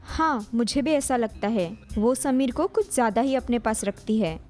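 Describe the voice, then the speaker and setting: A woman's voice: fairly high pitch, expressive, moderate pace, darkish tone, soft background music, natural voice. A woman narrator voicing a jealous young daughter-in-law in a cartoon story, with a complaining, dramatic manner. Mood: scheming.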